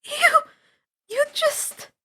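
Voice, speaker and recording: A woman's voice: extremely slow, bright, forceful tone. A woman voicing a tense, bossy, breathless young girl adventurer in an audiobook story. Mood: stunned. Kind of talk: acted audiobook dialogue.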